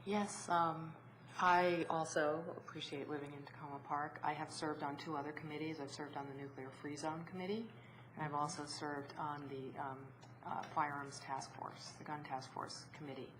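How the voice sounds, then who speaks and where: A woman makes a plain statement at a public meeting. Her voice is low-pitched. There is clear noise in the room behind her. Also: steady tone, normal speed. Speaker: committee applicant, policy professional. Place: indoor council meeting room.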